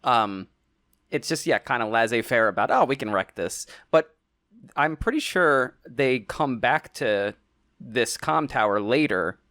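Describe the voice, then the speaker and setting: A young adult man co-hosting a casual podcast, teasing his partner with deadpan jokes. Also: medium pitch, measured pace, dry recording, studio quality. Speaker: podcast co-host. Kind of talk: casual chat.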